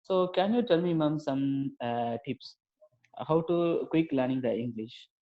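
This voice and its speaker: Halting Tamil-accented man, dull call audio. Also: mid-range voice. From India. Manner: hesitant, halting learner English, polite "ma'am". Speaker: guest, English learner. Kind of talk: casual conversation practice.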